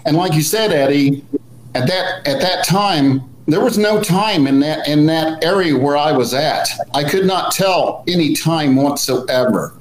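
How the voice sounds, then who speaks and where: A man's voice: fairly high pitch, expressive, a little slow. A man in his sixties chatting casually on a live stream, telling his own story in a plainspoken, folksy way over a video call. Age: 60s. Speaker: co-host. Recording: roomy echo with faint background hum.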